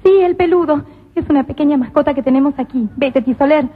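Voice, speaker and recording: A woman's voice: high voice, fast talker, natural voice. A woman voicing a flirtatious, teasing woman prisoner in a comic radio play. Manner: teasing, giggly. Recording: tinny lo-fi radio recording.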